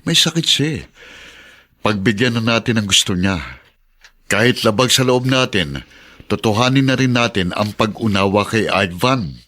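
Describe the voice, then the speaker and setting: Male, low voice, resigned dutiful adult son, measured peacemaker, radio drama. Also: bright, forceful tone. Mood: resigned, persuading. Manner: measured, persuasive. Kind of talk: Tagalog radio drama acting.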